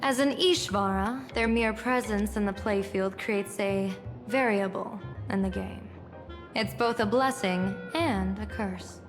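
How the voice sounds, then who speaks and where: A woman's voice: medium pitch, a little slow, natural voice. A woman as a cryptic seer general in long reverb. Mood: cryptic, solemn. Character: playful, cryptic female seer general, fortune-telling strategist. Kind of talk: theatrical video game voice acting. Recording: long reverb, faint music behind.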